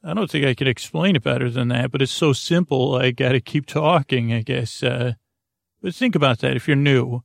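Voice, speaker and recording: A man hosting a sleep podcast, talking slowly and meandering with lots of filler words, mumbles and a creaky, soothing tone. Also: mid-range voice, no echo, clean studio sound. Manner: slow, meandering, soothing and self-deprecating. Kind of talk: rambling bedtime podcast talk.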